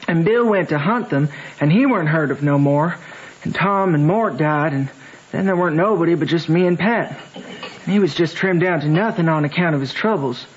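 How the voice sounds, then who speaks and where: An actor playing an orphaned Southern boy, telling his tale in a folksy, halting way in a radio drama. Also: fairly high pitch, breathy edge. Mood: mournful, earnest. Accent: American Southern. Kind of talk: old-time radio drama acting. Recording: dull, hissy old radio broadcast.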